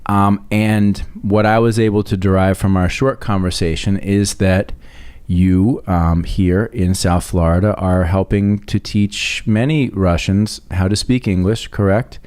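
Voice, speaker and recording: A man hosting an interview podcast, talking in a measured, hesitant way with many restarts. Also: low-pitched, fairly even intonation, darkish tone, clear, resonant tone. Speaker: podcast host. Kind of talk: casual interview talk.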